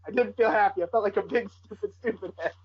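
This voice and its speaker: A woman co-hosts a podcast in a casual, chatty style. Her pitch sits in the middle, and the recording is slightly muffled and lo-fi. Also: fast. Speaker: podcast co-host. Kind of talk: casual chat. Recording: dull lo-fi podcast audio.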